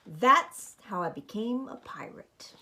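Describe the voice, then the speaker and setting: A woman storyteller reading a children's picture book aloud with expressive, emphatic phrasing. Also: a little high, takes it slowly, slight background noise.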